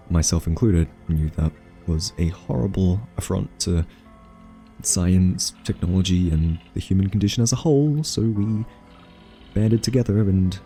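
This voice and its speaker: A man playing an earnest, awkward scientist in a soft-spoken ASMR audio roleplay, talking haltingly with nervous ums. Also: sits low. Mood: grave, earnest. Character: earnest, awkward male scientist pleading with a captive AI.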